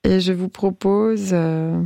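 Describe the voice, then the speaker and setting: A woman's voice: medium pitch, steady tone, slow, creaky, mellow, no room sound, clean studio sound. A woman hosting a late-night radio phone-in, chatting casually with a caller and laughing often as she stumbles over song titles. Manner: playful, laughs often, hesitant reading of titles.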